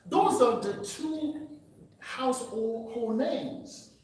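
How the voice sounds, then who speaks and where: A man's voice: very high voice, very slow, a little mellow, slight background noise. A man from Cameroon, giving an earnest, emphatic personal testimony speech at a meeting. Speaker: public speaker. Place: meeting room. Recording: echoey hall, distant mic.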